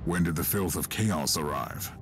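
An actor playing a stern, commanding inquisitor in a video game, curt and authoritative. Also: male voice, very deep voice, expressive, moderate pace, a little hiss or hum. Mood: stern, controlled. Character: stern commanding male inquisitor of grim resolve. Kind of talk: scripted game dialogue. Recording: loud game music and machinery behind.

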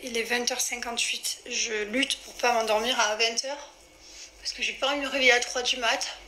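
A woman's voice: a little high, sharp tone, a little hiss or hum, low-quality recording. A woman, chatting casually and rambling straight to her followers on a phone held at arm's length. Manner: chatty, rambling, addresses viewers directly. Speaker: lifestyle influencer. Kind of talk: casual selfie chat to followers. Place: indoors, small wooden cabin room.

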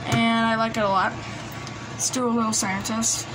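A woman's voice: on the high side, flat delivery, moderate pace, over music. A woman giving a casual, hesitant, rambling show-and-tell in an informal way, recorded on a handheld phone. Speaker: collector presenting gadgets. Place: small indoor room at a desk. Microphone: handheld phone camera. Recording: noisy handheld recording, background hiss. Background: noise and radio devices.

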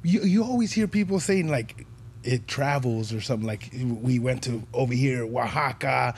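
A man's voice: medium pitch, moderate pace, breathy edge. A man in his thirties hosting a podcast with casual, slangy, joking banter. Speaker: podcast host. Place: small curtained podcast studio. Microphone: podcast mic on desk stand.

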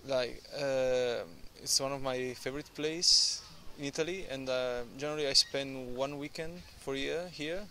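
A man in his twenties gives an eager, rambling answer in a garden courtyard. Outdoor noise stays clearly audible behind his mid-pitched voice. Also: steady tone, moderate pace, few pauses, pushed and bright. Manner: eager, rambling, non-native English. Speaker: interviewee, Italian tourist. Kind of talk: casual street interview.

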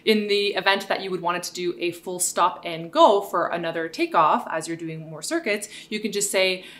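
A woman in her thirties speaks to camera from a room at home. Her voice sits a little on the low side for a woman. She answers questions informally, in a reassuring, explanatory way. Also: moderate pace, fairly bright tone. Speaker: pilot and video host. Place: living room at home, indoors.

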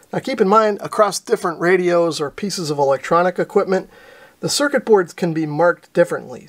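Higher-pitched American man explaining a repair. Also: a bit bright. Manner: casual, explanatory. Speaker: host of a radio repair channel. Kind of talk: instructional narration of an electronics repair.

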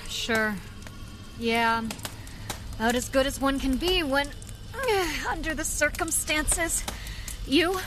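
Plucky vigilante woman, slow and high-pitched. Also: noisy background. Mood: shaken. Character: plucky masked woman vigilante, practical and caring. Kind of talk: audio drama acting.

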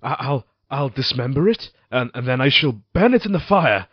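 A man's voice: mid-range voice, sing-song, normal speed, husky, dry recording, dull audio. A man playing a tormented, violent-tempered husband in a Gothic horror audio drama, with brooding, theatrical, archaic delivery. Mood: frantic scheming.

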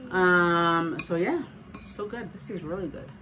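A woman in her thirties chatting casually as she cooks at home and films on her phone, filling pauses with fillers and little apologies. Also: lowish voice, a crowd in the background, poor audio. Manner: chatty, self-narrating, filler-heavy, apologetic. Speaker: home cooking vlogger. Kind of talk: casual cook-along chat. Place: home kitchen, indoors.

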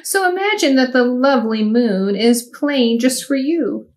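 A woman voicing a kindly, wise little male cricket in a storybook reading, speaking softly and encouragingly. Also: sits high, animated, a little slow. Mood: gentle.

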